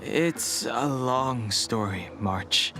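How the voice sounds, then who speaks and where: A man's voice: mid-range voice, very slow, over music. A man playing a reserved, brooding young noble in a game cutscene, restrained, dutiful and grave. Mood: restrained, resigned. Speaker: voice actor. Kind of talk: scripted game cutscene dialogue.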